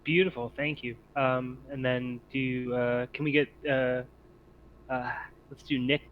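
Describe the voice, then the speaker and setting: A man's voice: mid-range voice, fairly even intonation, unhurried. A man running a casual tabletop game online as game master, speaking in an encouraging, appreciative way. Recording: muffled mic with noticeable background hiss.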